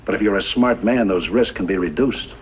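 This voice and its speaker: An actor playing a greedy, cocky gunrunning boss in a 1950s radio western, with a folksy, self-assured delivery. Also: male voice, fairly low pitch, a little fast, natural voice. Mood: cool, scornful. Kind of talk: 1950s radio drama acting. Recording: muffled, hissy old radio recording.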